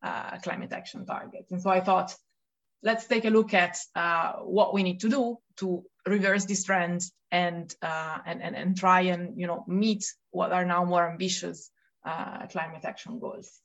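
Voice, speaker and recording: Woman, 30s, Italian accent, lowish voice, thoughtful, hesitant restarts, podcast guest. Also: fairly even intonation, unhurried, lo-fi sound. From Italy. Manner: thoughtful, many fillers and restarts. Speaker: research fellow, podcast guest. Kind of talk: casual policy discussion.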